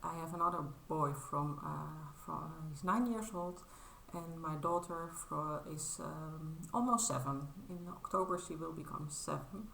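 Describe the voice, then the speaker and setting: A Dutch woman in her thirties chats in English with a low, gravelly voice that still sounds bright and a little tense. She hesitates a lot, filling the pauses with filler sounds and restarting her sentences. The room is echoey, with a faint hum of ambience behind her. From Netherlands. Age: 30s. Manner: modest and apologetic. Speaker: crafting vlogger. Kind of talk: casual show-and-tell vlog.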